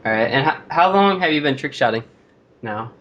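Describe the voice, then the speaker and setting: A man's voice: on the high side, animated, normal speed, lo-fi sound. A teenage boy hosting a casual interview, asking friendly questions with frequent "um"s.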